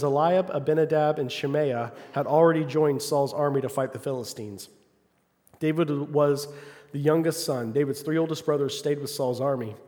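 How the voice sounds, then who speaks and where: A man preaching a sermon, calling for "amen" from the congregation and swinging between loud, fervent passages and quiet asides. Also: medium pitch. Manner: call-and-response, asks congregation "Amen?". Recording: long hall reverb.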